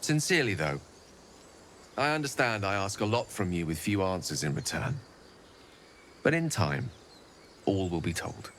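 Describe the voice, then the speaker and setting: A wry, deep-voiced wizard. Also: male voice, normal speed, pauses often, slight background noise, heavily acted. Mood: sincere. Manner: wry, eloquent, expressive. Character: erudite male wizard, wry and verbose.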